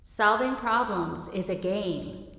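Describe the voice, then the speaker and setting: A woman voicing a solemn, eerie reciter of ominous rhyming riddles in an audiobook, with drawn-out, portentous delivery. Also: medium pitch, vocal fry, sharp tone, heavily acted. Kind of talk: slow rhymed recitation in an audiobook. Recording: echoey long hall reverb effect.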